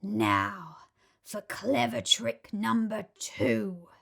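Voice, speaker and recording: A greedy crocodile from a storybook plots in a sly, gloating murmur, as a woman reading aloud puts him on. He talks slowly and lingers over his words. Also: medium pitch, steady tone, vocal fry, exaggerated voice. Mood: scheming. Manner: sly, gloating, sometimes hushed. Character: greedy scheming male crocodile.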